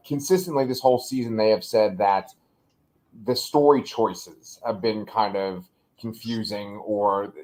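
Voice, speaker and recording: A man co-hosting a casual show review, talking in a relaxed way and asking his co-host's opinion. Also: mid-range voice.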